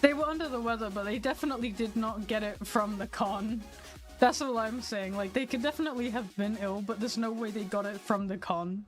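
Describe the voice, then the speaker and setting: A woman's voice: fairly high pitch, a little fast, faint music behind. A young adult woman streaming, chatting candidly with her viewers, a little nasal from a cold. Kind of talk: casual stream chat.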